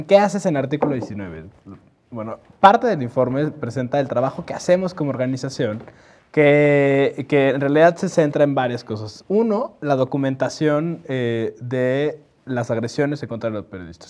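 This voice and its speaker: Mexican man, mid-pitched, measured and methodical panelist laying out points in order. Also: darkish tone. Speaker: panelist from a press freedom organization. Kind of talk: explanatory panel talk.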